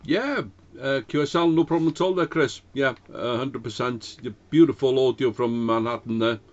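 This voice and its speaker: Man on dull-sounding radio. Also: medium pitch. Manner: hesitant, courteous, pauses often. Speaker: amateur radio operator. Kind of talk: ham radio contact with spelled-out call signs. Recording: dull, band-limited amateur radio audio.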